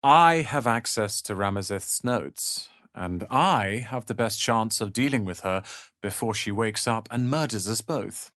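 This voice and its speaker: A man's voice: on the low side, animated, measured pace, a bit bright, no echo, clean studio sound. An actor playing a haughty, prickly young male wizard's apprentice in a fantasy video game, with clipped, theatrical delivery. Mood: haughty, resigned.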